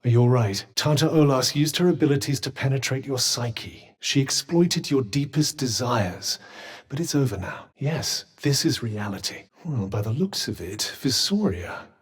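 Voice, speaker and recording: Game voice: a wry talking bracelet, husky, lively sing-song delivery, endlessly teasing. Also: male voice, mid-range voice, measured pace. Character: sardonic talking magic bracelet, male, adult. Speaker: companion character. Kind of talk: video game voice lines.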